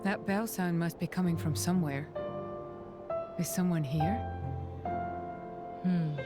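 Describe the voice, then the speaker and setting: Composed, lowish-voiced companion. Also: female voice, slow. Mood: composed, wondering. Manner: composed, observant. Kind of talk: video game cutscene acting. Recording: game music loud behind.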